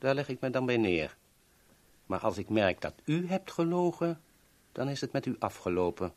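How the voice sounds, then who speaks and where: An actor playing a courteous, coolly menacing villain in a 1970s Dutch radio drama, speaking formally and politely. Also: male voice, mid-range voice, lively intonation, normal speed, darkish tone, close to an everyday voice. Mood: polite threat.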